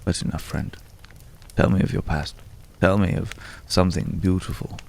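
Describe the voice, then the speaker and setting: A very deep, mysterious gentleman acted by a man speaks in a heavily creaking, hushed voice over noticeable background noise. He is courtly and world-weary, choosing florid, old-fashioned words as in an intimate ASMR roleplay. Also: fairly even intonation, normal speed. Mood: gentle wonder. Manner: archaic, florid, hesitant, pensive phrasing.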